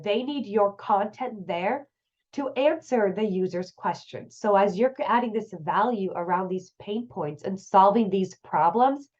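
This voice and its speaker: A woman in her thirties hosts a casual tips show, chatty and upbeat as she talks straight to her viewers. Her voice sounds congested and nasal from a cold. The recording is a little dull and muffled. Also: mid-range voice. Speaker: host. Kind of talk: casual online business tips.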